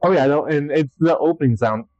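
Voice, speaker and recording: A man's voice: a little high, a little fast, a little mellow, dry recording. A young man co-hosting a casual podcast chat, easygoing and a bit hesitant. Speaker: podcast co-host. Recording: dry, dull lo-fi sound.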